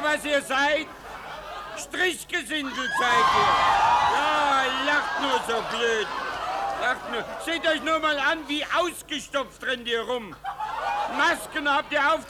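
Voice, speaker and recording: An actor playing a crude, defiant vagrant who bluntly harangues rich revellers in a 1950s German radio play. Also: male voice, very high voice. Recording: 1950s radio recording, loud crowd noise. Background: loud crowd.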